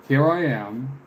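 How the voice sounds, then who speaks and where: A man in his mid-twenties chatting casually on a live stream, halting and reflective, often trailing off. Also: mid-range voice, dull audio, a little hiss or hum. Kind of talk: casual live stream chat answering viewers. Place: room with window blinds, indoors.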